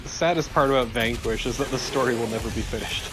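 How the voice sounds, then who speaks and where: A man's voice: mid-range voice, fast talker, background noise. A man co-hosting a let's play, chatting casually in a blunt, dry, sweary manner. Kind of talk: casual gameplay chat.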